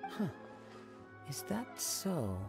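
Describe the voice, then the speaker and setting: An actor playing a calm, modest young wandering samurai in a video game, with composed and formal manners. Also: male voice, sits high, drawn-out words, over music, heavily acted. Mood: probing.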